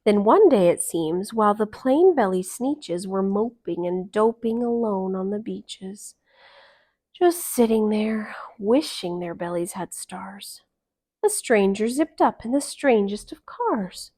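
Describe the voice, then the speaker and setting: A woman reading a rhyming bedtime story aloud to children, expressively, with dramatic emphasis and hushed line endings. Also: mid-range voice, animated, slow.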